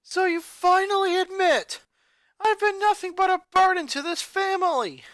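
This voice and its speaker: Narrator doing a young boy yelling, raw and cracking, high and bright. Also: moderate pace, no room sound. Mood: bitter shouting. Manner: shouting, raw and breaking with rage. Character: hurt, angry eleven-year-old boy.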